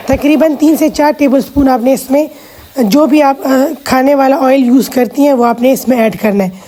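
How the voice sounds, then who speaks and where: Hoarse high-voiced woman cooking host. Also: dark tone. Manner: patient step-by-step instruction, chatty asides. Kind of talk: cooking vlog voice-over.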